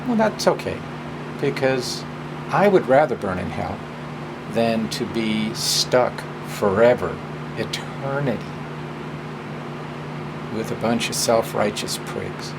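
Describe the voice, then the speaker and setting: A man speaking informally and deliberately as a presenter, drawing out words for emphasis. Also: medium pitch. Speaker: presenter and drag performer. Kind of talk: informal personal talk and story hour introduction. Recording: clear steady hum of background noise.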